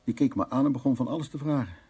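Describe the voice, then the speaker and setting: An actor in a radio play playing a brusque art restorer who mutters and repeats himself hesitantly. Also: male voice, medium pitch, very fast, warm tone, husky. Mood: agitated recollection. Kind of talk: Dutch radio drama acting, dialogue and soliloquy.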